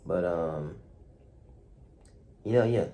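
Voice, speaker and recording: A young man, talking softly and hesitantly to the camera in a dark room, with many fillers and restarts. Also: low voice, very slow. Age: young adult. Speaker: vlogger. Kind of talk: reflective talk to camera.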